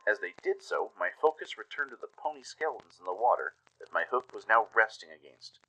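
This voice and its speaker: Low, husky, forceful male narrator. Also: big pitch swings, fairly quick, poor audio. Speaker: radio play narrator and announcer. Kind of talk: dramatic first-person scripted narration.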